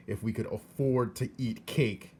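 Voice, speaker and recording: A man voicing a greedy, indignant old uncle who bursts out loudly and high. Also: fairly even intonation, unhurried, slight background noise, close to an everyday voice. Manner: loud, exasperated outbursts.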